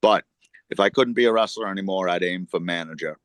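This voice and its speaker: A man's voice: sits low, a little fast, dry recording. A middle-aged man, a wrestler and podcast co-host, talking at length in casual chat, swearing and building long points.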